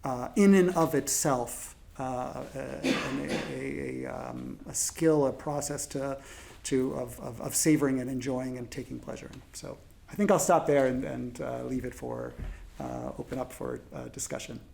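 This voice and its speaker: Gravelly-voiced man on a panel. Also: medium pitch, steady tone, darkish tone, slight background noise. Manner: halting with many fillers and restarts. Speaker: panelist translator. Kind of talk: panel discussion.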